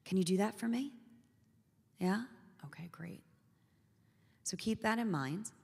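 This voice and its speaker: A woman's voice: medium pitch, measured pace, creaky, a little mellow, breathy edge. A middle-aged woman introducing the speaker to an audience, talking to them earnestly and directly. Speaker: event host introducing the speaker. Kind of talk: public introduction. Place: synagogue hall. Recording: reverberant hall.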